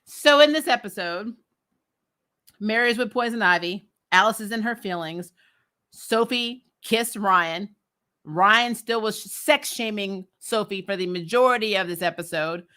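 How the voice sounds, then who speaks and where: Female recap vlogger, mid-pitched and bright, casual and opinionated, with a sarcastic, exasperated, slangy delivery. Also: measured pace. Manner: acts out characters' lines mockingly.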